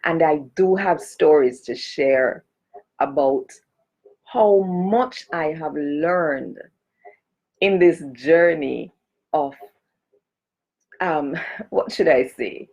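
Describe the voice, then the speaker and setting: A woman's voice: sits low, extremely slow, creaky, long pauses, a little mellow, slightly rough. A woman from Jamaica chatting casually on a live stream as a cultural advocate, greeting and speaking straight to her viewers. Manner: chatty, greets and addresses viewers directly, gives blessings.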